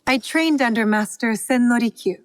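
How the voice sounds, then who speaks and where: A woman's voice: fairly high pitch, normal speed, no room sound, lightly acted. An actress playing an earnest, reserved young woman in a video game, polite yet plain-spoken. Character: earnest, reserved, blunt young female student of tea. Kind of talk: video game cutscene acting.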